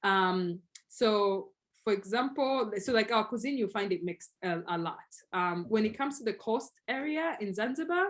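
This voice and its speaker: Tanzanian woman explaining with lively energy. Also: mid-range voice, moderate pace. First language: Swahili. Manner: animated, explanatory, many fillers. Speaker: guest. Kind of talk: conversational interview.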